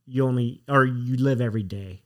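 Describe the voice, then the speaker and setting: A man hosting a podcast, talking in a relaxed, encouraging way. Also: medium pitch, a little fast. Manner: relaxed, encouraging, short affirmations. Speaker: podcast host. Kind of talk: casual interview chat.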